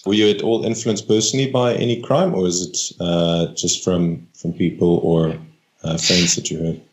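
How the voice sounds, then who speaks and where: A man's voice: low-pitched, fairly even intonation, normal speed. A man hosting a podcast, talking in an easygoing, agreeable, conversational way. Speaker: podcast host. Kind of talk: casual podcast interview.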